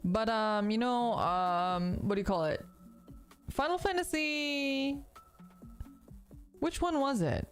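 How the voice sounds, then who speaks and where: A woman's voice: fairly high pitch, animated, slow, long pauses, faint music behind. A woman in her thirties, a streamer chatting casually while she crafts, sounding sniffly and congested, rambling with lots of fillers and swearing. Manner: rambling, profane, filler-heavy.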